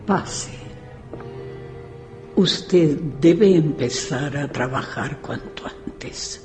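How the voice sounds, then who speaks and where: An actress playing a frail, imperious old woman who gives halting orders in a radio drama. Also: deep voice, animated, a little mellow, faint music behind, heavily acted. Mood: commanding, brittle. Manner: slow, halting, commanding. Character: frail old widow, imperious, bitter, living in darkness.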